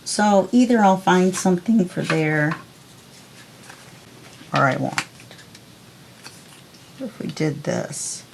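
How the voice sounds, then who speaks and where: A woman talks through a crafting project in a calm, easygoing voice while she works. Her voice sits low, with a gravelly edge. A faint hiss runs under the recording. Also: takes it slowly, pauses often, poor audio. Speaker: crafting video host. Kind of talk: casual crafting tutorial chat.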